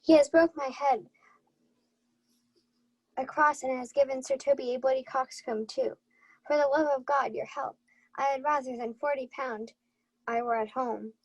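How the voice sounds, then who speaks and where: Casting: young woman as a whining, foolish knight, cowardly and aggrieved, high-pitched, comic radio drama. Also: lively intonation, moderate pace, long pauses, poor audio. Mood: panicked. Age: young adult. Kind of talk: 1940s radio play comic acting.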